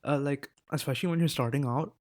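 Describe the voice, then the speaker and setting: A man's voice: fairly high pitch, quick pace. A teenage boy chatting casually in a rambling, self-interrupting vlog, swearing now and then, into a desk mic. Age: teens. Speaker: vlogger. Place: indoors at a laptop.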